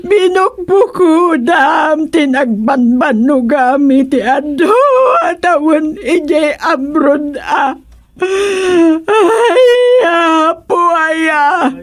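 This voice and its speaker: A woman acting a bereaved village woman in a melodramatic radio serial. Her high, tearful voice wails slowly in an Ilocano accent, stretching out the words. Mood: wailing grief.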